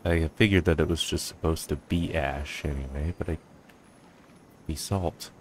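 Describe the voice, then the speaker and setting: A man's voice: a bass voice, normal speed, noisy background. A man giving casual let's-play commentary as a streamer, offhand and rambling to himself. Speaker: gameplay streamer.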